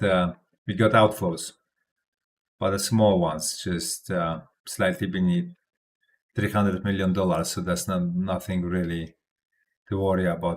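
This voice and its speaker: Self-assured man, low voice. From Poland. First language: Polish. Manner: rambling, self-assured, laughs often, restarts words. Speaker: crypto channel host. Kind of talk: casual livestream commentary.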